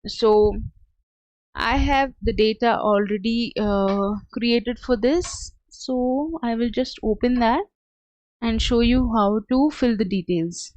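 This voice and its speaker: A woman working as a software trainer, giving a step-by-step screen tutorial in a hesitant, halting way. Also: on the high side, no echo. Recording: dull lo-fi close dry audio.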